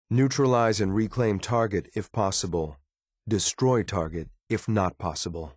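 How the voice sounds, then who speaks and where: Casting: male actor for stern synth soldier companion in a video game. Lowish male voice, clipped military formality, cool loyalty, dry studio sound. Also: no echo, close to an everyday voice.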